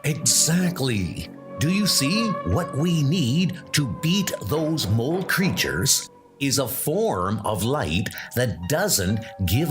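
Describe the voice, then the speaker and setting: A man's voice: mid-range voice, a bit bright, exaggerated voice. A man playing an earnest, commanding heroic leader with urgent, declamatory delivery in a comic-book audio drama. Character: earnest, commanding heroic male leader of post-war knights.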